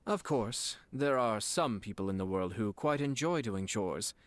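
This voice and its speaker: Composed, courtly young lord. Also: male voice, fairly low pitch, animated, no echo. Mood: wry, calm. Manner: formal, measured, polished. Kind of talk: video game voice acting.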